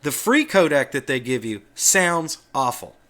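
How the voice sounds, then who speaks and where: A man's voice: a little high, big pitch swings, unhurried, fairly bright tone. A man hosting a casual tutorial, explaining things off the cuff in an informal, plain-spoken, folksy way. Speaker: host of a Linux tutorial video.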